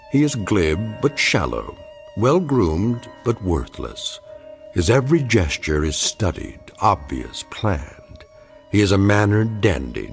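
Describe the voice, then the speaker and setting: An actor playing a grave, judging storyteller, narrating an audio drama in a measured, portentous way. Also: male voice, sits low, darkish tone, full character voice.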